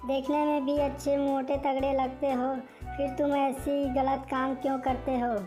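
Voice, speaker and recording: Woman as a high-pitched earnest little bird. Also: little pitch movement, a little slow, over music. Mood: scolding, pleading. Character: small hardworking female bird, earnest, pleading and scolding. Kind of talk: Hindi animated moral story dialogue.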